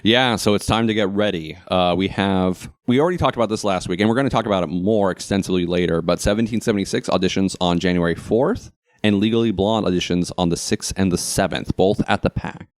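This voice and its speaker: A man's voice: sits low, fast, no echo, studio quality. An American man co-hosting a podcast, chatting casually and wryly about local theater. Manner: jokey, wry, chatty. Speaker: podcast co-host.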